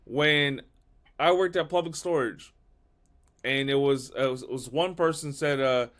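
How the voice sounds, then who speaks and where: A man telling personal stories as a podcast guest, animated and repeating key lines. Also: mid-range voice, normal speed. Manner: animated storyteller, repeats himself. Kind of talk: casual storytelling.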